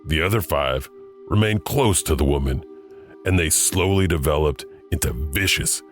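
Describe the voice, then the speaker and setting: A man narrating legends in a measured, storytelling manner, with faint music behind. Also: rumbling low. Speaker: narrator host. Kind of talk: scripted horror narration.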